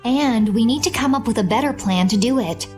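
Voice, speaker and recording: A woman playing a composed, practical young adventurer in a video game, speaking in a level-headed, deliberate way. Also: a little high, darkish tone, soft background music, close to an everyday voice. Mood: sober, resolved. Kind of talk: English video game dub.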